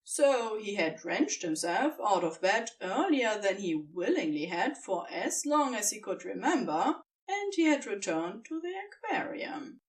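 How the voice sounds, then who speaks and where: Female audiobook narrator for fiction, very slow and expressive, dramatic emphatic pauses, slightly distant from mic. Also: medium pitch.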